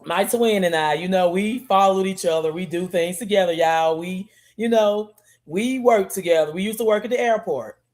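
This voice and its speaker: A woman, relaxed, telling stories on a casual fan chat and repeating words for emphasis. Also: mid-range voice, moderate pace. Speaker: podcast co-host.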